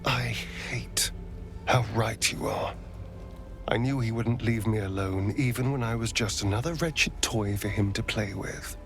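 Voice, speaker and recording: An actor playing a proud, wounded vampire rogue in a video game, expressive and dramatic. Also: male voice, lowish voice, measured pace, soft background music. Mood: bitter resolve.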